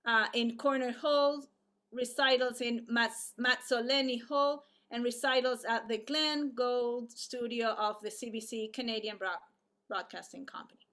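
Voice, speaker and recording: A woman's voice: a little high, fairly even intonation, sharp tone. Hesitant woman host with many fillers. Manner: halting, many fillers and restarts. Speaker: arts and culture programmer introducing a guest. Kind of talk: online event introduction.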